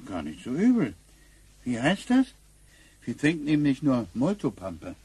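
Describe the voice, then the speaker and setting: An actor playing an older, apologetic, hesitant grandfather with a husky voice in a radio play. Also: medium pitch, huge pitch swings, measured pace, darkish tone, heavily acted. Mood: resigned, hesitant. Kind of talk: German radio play acting.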